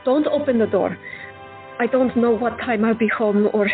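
A brave, caring female doctor speaks firmly and protectively, played by a woman. Her voice is high. It comes through tinny and narrow, with soft music underneath. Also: normal speed, close to an everyday voice. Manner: firm, protective. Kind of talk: audio drama acting.

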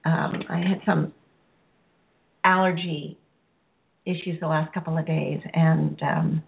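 A woman with a hoarse, raspy voice hosting a show and calmly leading a guided healing meditation, pausing and restarting her sentences as she goes. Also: low voice. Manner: calm, instructive, unhurried, with restarts. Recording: tinny phone-like line.

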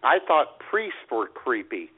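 A man co-hosting a radio talk show, making halting, joking asides. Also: medium pitch, sing-song, breathy edge. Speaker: co-host. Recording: narrow phone-like sound.

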